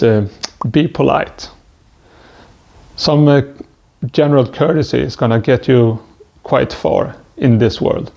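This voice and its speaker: Swedish-accented man, gravelly and mellow. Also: medium pitch. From Sweden. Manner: hesitant, stumbling with frequent "uh" fillers. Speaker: vlogger. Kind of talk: self-help advice monologue.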